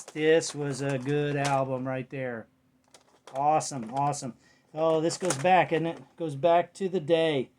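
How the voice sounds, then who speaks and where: Higher-voiced American man rambling casually over noise. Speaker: vlogger showing a cassette collection. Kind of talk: casual unscripted chat. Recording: clear background noise, clicks, home recording.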